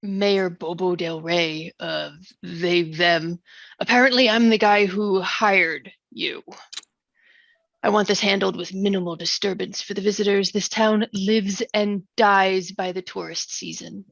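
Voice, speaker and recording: Lady doing a exasperated mayor, dry sarcasm, lots of vocal fry, comedy read. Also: mid-range voice, unhurried, no echo. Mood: brisk, businesslike. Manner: sarcastic, deadpan. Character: dry, exasperated small-town tourist mayor. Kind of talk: comedy musical table read.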